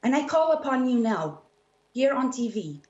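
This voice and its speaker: A woman's voice: high voice, sing-song, normal speed, darkish tone, roomy sound, highs cut off. A woman from Syria speaking as an activist in an impassioned televised political debate, emphatic and insistent, repeating her phrases.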